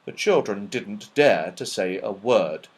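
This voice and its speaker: Slow, very animated male storyteller. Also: on the low side, husky. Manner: expressive, dramatic storytelling. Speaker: audiobook reader. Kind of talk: scripted children's story narration.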